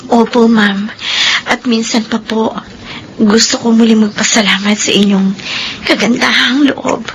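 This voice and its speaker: An actress playing a humble, troubled young housemaid in a Filipino radio drama, polite and anxious. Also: female voice, on the high side, fairly even intonation, unhurried, noisy background. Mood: humble, grateful.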